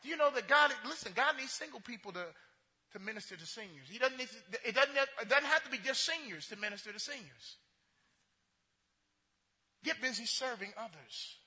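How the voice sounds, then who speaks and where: A male pastor preaching with a strikingly high, piercingly bright voice. He stammers through restarts and repeats himself, swinging between loud passion and hushed asides. The sound carries the long reverb of a church sanctuary. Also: expressive, moderate pace. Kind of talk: sermon.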